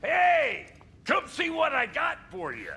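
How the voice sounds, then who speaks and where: An actor playing a gruff but friendly blacksmith in a video game, blunt, brisk and folksy, with a gravelly voice. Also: male voice, very high voice, huge pitch swings, pushed and bright, a little hiss or hum, heavily acted. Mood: excited. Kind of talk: animated video game acting.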